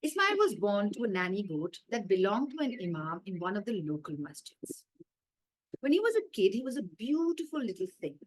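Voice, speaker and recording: Woman, Indian accent, animated intonation, children's story readaloud, measured delivery, dramatic pauses. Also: mid-range voice, poor audio. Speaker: author reading own story aloud.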